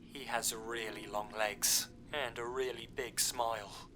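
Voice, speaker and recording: A man voicing a frightened little girl in a horror story, hesitant and hushed, almost whispering. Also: mid-range voice, fairly even intonation, unhurried, noisy background, natural voice. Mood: terrified, hushed. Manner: hesitant, fearful. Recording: music behind the voice.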